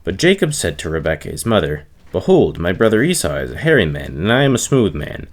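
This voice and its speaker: A man reading the Bible aloud from the text, giving the dialogue expressive emphasis in his own voice. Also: deep voice, brisk. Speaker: reader.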